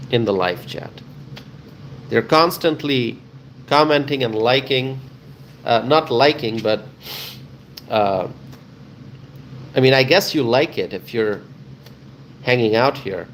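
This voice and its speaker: A man hosting a live stream, chatting casually with viewers in a halting way, often repeating himself and pausing. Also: medium pitch. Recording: clear ambient background noise.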